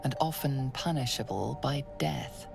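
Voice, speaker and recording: A woman narrating a fantasy video game in a measured, ominous storytelling manner. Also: very deep voice, vocal fry, over music, full character voice. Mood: cautioning. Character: composed, ominous female narrator of a fantasy quest.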